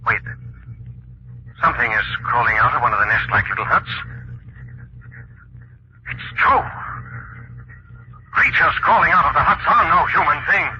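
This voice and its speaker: A man's voice: fairly high pitch, natural voice. Man acting a clipped, urgent radio detective, muffled sound. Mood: tense, hushed, then horrified. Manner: clipped, earnest, dramatic. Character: resolute, suave amateur detective, grown man, grave and urgent. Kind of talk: 1940s radio drama acting. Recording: muffled, hissy 1940s radio recording.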